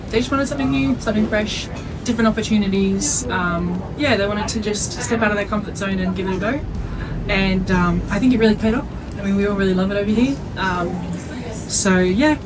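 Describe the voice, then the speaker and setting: A woman's voice: mid-range voice, noisy background. A young adult woman with an Australian accent, originally from Iceland, chatting casually and informally as an athlete being interviewed. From Iceland, raised in Australia from age three. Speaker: netball player being interviewed.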